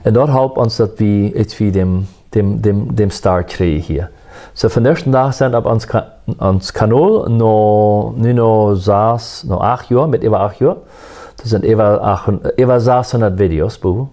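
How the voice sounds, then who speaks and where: A man, a musician, telling his life story in a soft-spoken, reflective and faith-centered way. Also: fairly low pitch, normal speed. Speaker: musician guest.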